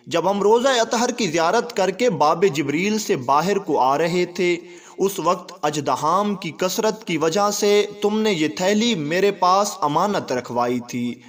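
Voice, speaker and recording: Man narrator as caravan pilgrim, male, insistent, puzzled, formal storybook speech, slightly high voice.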